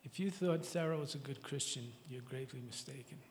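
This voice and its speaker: A man with a raspy voice giving a halting, emotional memorial address and reading scripture in a church. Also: mid-range voice, in a large hall. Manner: halting, tearful, repeats words. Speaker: speaker at a memorial service.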